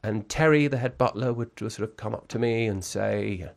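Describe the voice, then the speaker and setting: A man in his forties with a lowish voice, musing aloud as a guest in a conversational interview. He circles his thoughts, stumbling through restarts and little fillers, yet lands on articulate, wry phrasing. The sound is close and dry, with no room echo. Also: normal speed, gravelly. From grew up in Brussels.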